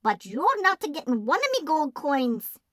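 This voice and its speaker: Woman doing a high-voiced grumpy old Irish leprechaun. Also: very animated, moderate pace, a bit bright, dry recording, studio quality. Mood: indignant. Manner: lilting, emphatic, theatrical speech. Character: tiny old male leprechaun, short-tempered and stubborn.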